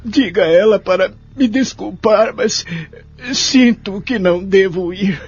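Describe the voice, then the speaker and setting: An actor playing a lonely, sorrowful elderly care-home resident in an audio drama, speaking in a measured, plaintive way. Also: male voice, sits high, husky, exaggerated voice. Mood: shaken, stubborn. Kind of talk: audio drama narration and dialogue. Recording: dull lo-fi audio with music behind.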